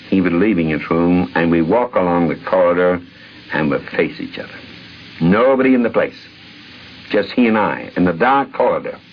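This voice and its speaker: Male interviewee, very low voice, emphatic dramatic storyteller, muffled vintage audio. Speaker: interviewee recalling a friend. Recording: dull, noisy vintage radio recording.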